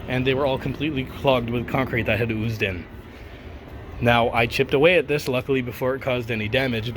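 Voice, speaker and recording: A man with a cold-roughened, hoarse voice talks through a casual backyard walkthrough. He grumbles and rants at careless work with a middling pitch. The outdoor recording carries clear background noise and a machine hum. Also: fairly bright tone. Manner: grumbling, ranting about contractors. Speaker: video host. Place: outdoors in a backyard beside an air conditioner.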